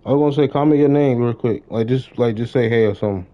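A man's voice: mid-range voice, fast talker. Young man streaming games, dull lo-fi audio. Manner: slangy, repeats phrases. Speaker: live game streamer. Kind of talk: casual gaming livestream chat.

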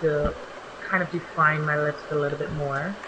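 A young woman narrates a home makeup tutorial in a relaxed, chatty voice-over, her voice a little raspy because she has just woken up. She fills pauses with ums as she goes. A clear hiss of noise runs under the home recording. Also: deep voice. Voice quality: slightly raspy. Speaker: beauty vlogger. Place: small home bathroom.

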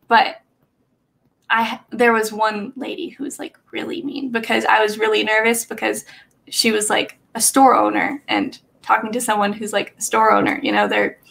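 A woman's voice: a little high, creaky, fairly bright tone. A young woman chatting casually on a livestream from a small bedroom, rambling with lots of fillers and nervous laughs, picked up by a desk webcam. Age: young adult. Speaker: livestream host. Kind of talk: casual chat with live chat readers. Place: small bedroom with bookshelf, indoors.

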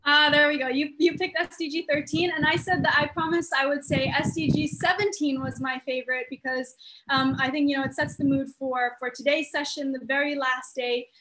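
A woman's voice: high-pitched, steady tone, a little fast, sharp tone, slight background noise, rough recording. A nineteen-year-old woman hosting a youth group video call, chatty and upbeat, laughing often. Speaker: host, youth group founder. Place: small room indoors. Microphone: laptop webcam, video call.

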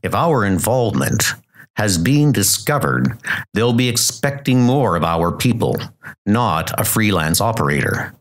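Measured businesslike agent , acted by a man. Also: fairly low pitch, very animated.

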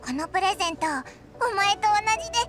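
Casting: eager little game fairy, childlike and very high, animated, blunt casual talk, music behind. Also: female voice, a little fast. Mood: cheerful. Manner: blunt, boyish casual speech, exclamatory. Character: tiny childlike floating fairy companion, cheeky and eager. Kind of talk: Japanese anime game voice acting.

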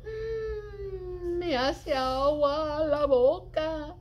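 A middle-aged woman reading a children's poem aloud in an expressive, singsong, deliberate way, as a story-time librarian. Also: extremely high pitch, dull audio, background noise. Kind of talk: animated read-aloud of a children's cooking poem. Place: indoors, public library children's area.

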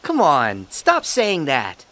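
A man's voice: fairly high pitch, wildly swooping pitch, slow, sharp tone, heavily acted. A man playing a cheerful, earnest teenage boy hero in a video game, with an eager, boyish delivery. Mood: reassuring. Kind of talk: English video game voice acting.

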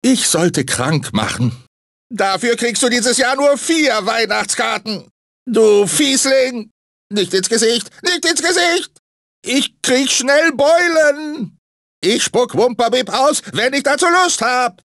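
A manic talking fruit mascot from a cartoon video game. The voice is very high and swoops all over, theatrical and grumpy under a sugary sales pitch. The sound is dry and close. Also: male voice, animated, slow pace, a bit bright, dry recording, heavily acted. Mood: whiny, indignant. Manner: theatrical, swinging between sugary salesman and irritable grumbler. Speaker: video game character actor.

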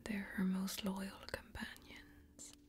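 Whispering young woman, hushed and intimate. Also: mid-range voice, monotone, faint music behind. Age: young adult. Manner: hushed, slow, deliberate, intimate. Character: female fantasy warrior, solemn, earnest, mysterious. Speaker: ASMR performer in fantasy role-play. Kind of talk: soft-spoken whispered ASMR role-play. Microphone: close microphone.

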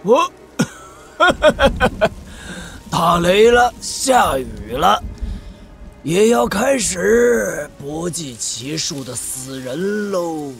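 Creaky, mocking old schemer. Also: male voice, sits high, big pitch swings, music in the background, full character voice. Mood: gleeful, theatrical. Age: older. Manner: theatrical, mocking, drawn-out. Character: eccentric, cynical old male schemer sage. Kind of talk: Chinese wuxia audio drama acting.

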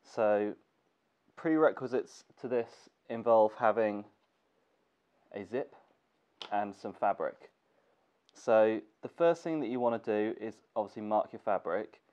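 Congested low-voiced man in a workshop. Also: a little slow, smooth. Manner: relaxed, thinking aloud with fillers. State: congested from a cold. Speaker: gear maker teaching sewing. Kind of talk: casual tutorial narration. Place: workshop with sewing machine. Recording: dull lo-fi camera audio with faint noise.

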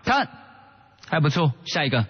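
A man's voice: on the high side, very animated, moderate pace, a little hiss or hum. A man playing a brusque, impatient stage director at auditions in a radio drama. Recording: muffled, long reverberant hall.

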